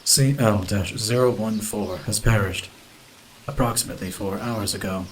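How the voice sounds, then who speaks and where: A man playing a polite, emotionless space station computer in an audio drama, formal and measured. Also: fairly low pitch, a little hiss or hum, lightly acted, rough recording. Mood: impassive, polite. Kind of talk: audio drama acting, formal system announcements. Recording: faint background ambience.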